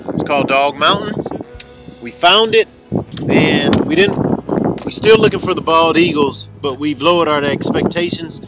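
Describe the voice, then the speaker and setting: A man's voice: a little high, normal speed, rough recording. A middle-aged man filming himself outdoors on a mountain trail with a handheld camera, chatting sarcastically to viewers about the hike. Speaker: father filming a hiking trip. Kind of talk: casual vlog narration. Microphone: handheld camera at arm's length. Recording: background music over it. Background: music playing.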